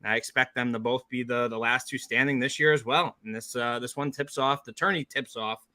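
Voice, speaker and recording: A man co-hosting a sports betting podcast, energetic and chummy as he breaks down a college basketball matchup. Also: mid-range voice, a little fast. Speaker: podcast co-host, sports bettor.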